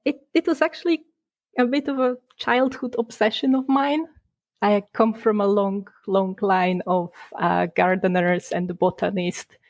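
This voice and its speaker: A woman's voice: mid-range voice, steady tone, unhurried, darkish tone, no echo. Chatty Polish-born woman, dry sound. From Poland. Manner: chatty, laughs between points, restarts words. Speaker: guest, game designer. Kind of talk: casual podcast interview.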